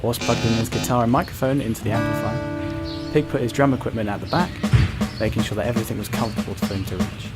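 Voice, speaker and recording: A man's voice: medium pitch, fast talker, natural voice. Man as storybook narrator over prominent music. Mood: measured storytelling. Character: storyteller of an animal tale.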